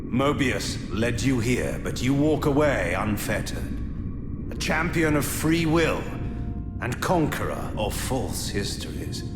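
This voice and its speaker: An actor playing a grave, commanding, ancient would-be guardian of destiny in a video game, speaking in a measured, portentous, theatrical way. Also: male voice, medium pitch. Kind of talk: theatrical, solemn video game dramatic acting. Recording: cavernous echo, loud music behind.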